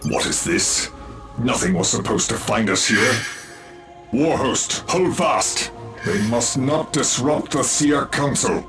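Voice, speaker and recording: A proud, aloof alien elf warrior speaks in slow, formal scorn in a game cutscene. Loud music plays behind him. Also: male voice, mid-range voice, expressive. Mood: haughty.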